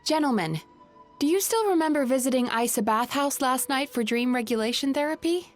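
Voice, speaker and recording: A woman playing a composed, earnest young dream therapist in a video game, measured and explanatory. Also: high voice, lively intonation, soft background music. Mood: polite, probing.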